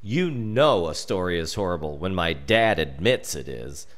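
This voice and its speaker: An American man reading a scripted story as narrator, theatrically, with dramatic pauses. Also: deep voice, big pitch swings. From United States. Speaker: storyteller reading his comic family memoir.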